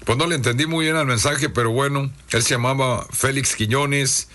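A hoarse man, hosting a radio show and reading listener greetings in a chummy, casual way. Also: mid-range voice, steady tone, moderate pace, pushed and bright. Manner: chummy, reads listener messages aloud. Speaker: radio host. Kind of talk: casual radio shout-outs.

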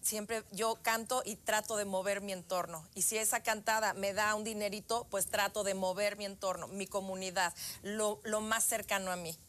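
A woman, a singer and guest, speaking heartfelt and pausing to search for words on a live telethon. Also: mid-range voice, steady tone, a bit bright.

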